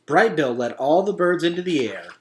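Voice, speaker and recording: A man reading a children's story aloud as an expressive narrator. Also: on the high side, moderate pace, slight background noise.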